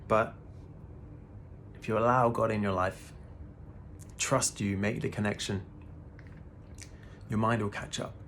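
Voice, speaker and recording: Gravelly laid-back man in his thirties. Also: lowish voice, steady tone, a little slow, long pauses, fairly bright tone, a little hiss or hum. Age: 30s. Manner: laid-back, peppers talk with "man" and swearing. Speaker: spiritual vlogger. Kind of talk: casual spiritual monologue.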